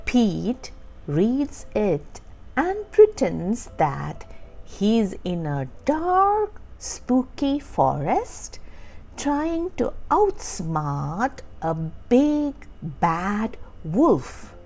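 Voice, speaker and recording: A woman reading a children's storybook aloud as a storytime host, with expressive, deliberate phrasing for young listeners. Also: mid-range voice. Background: background music.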